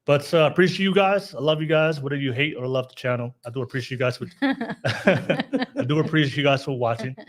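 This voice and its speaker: A man's voice: a little high, lively intonation, fairly quick. A man hosting a casual live podcast, upbeat, laughing often and restarting his sentences. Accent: Californian. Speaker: podcast host.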